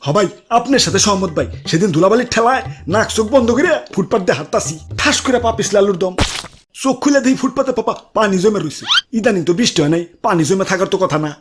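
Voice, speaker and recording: A man's voice: high-pitched, expressive, fast talker, background noise. A man voicing a hapless, eager sidekick in a comic cartoon, telling silly mishaps in exaggerated Bangladeshi dialect over music. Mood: silly complaining.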